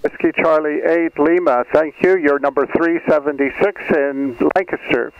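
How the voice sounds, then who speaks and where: A man's voice: a little high, steady tone, normal speed. A man operating amateur radio in a contest, exchanging short procedural contacts with phonetic spelling. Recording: dull, lo-fi audio with noise.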